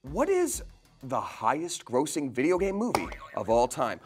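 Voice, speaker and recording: Animated young man hosting a game show. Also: mid-range voice, normal speed, over music. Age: young adult. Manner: theatrical, jokey. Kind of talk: playful quiz show hosting. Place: indoor studio set.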